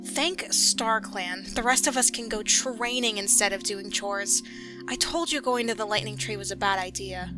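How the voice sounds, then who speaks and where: A woman's voice: mid-range voice, normal speed, close to an everyday voice. A woman voicing a dreamy, chatty young apprentice cat in an amateur audio story. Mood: teasing. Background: background music.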